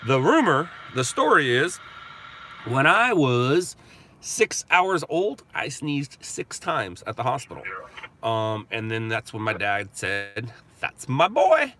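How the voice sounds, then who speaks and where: A man's voice: medium pitch, sing-song, slow, slight background noise. Stuffy-nosed man chatting in a car. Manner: rambling, answers chat questions out loud. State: stuffy nose, sniffling. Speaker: live stream host reading viewer chat. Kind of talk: casual chat. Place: inside a car while driving.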